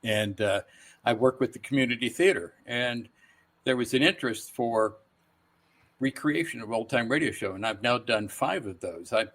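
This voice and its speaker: A man's voice: medium pitch, moderate pace, a bit bright. A man over sixty chatting casually as an interview guest, reminiscing as he talks. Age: 60s or older. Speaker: guest voice actor.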